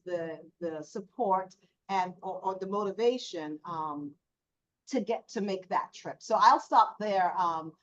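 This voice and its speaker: A woman from Jamaica speaking as a panelist, reflective and measured, pausing and restarting. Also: medium pitch. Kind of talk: casual panel discussion. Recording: dull lo-fi audio.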